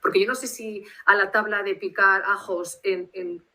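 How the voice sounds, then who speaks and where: A woman's voice: mid-range voice, fairly even intonation, normal speed, pushed and bright. A woman from Bilbao with a Basque Spanish accent, speaking as a guest translator on a video call in a reflective, rambling way. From Spain, Bilbao.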